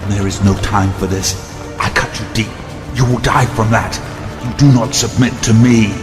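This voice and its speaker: An actor playing a bitter, arrogant vampire prince, haughty and grandiose, in an audio drama. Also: male voice, lowish voice, fairly even intonation, normal speed, lightly acted. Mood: cold triumph. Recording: long cavernous reverb, faint music.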